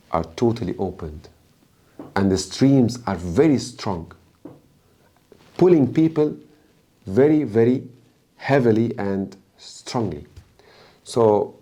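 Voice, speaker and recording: A man with an Egyptian accent and native Arabic speaks as an Islamic preacher in an interview. His voice is fairly low and very mellow. He phrases things deliberately and emphatically, dropping in Arabic religious terms.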